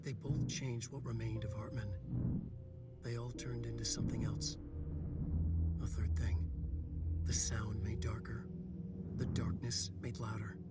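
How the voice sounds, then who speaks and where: An actor playing a brooding writer who narrates dark horror prose in terse, intense, often hushed fragments. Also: male voice, medium pitch, level tone, measured pace, vocal fry, pauses often. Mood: ominous. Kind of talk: dramatic literary monologue. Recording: distant voice under loud music.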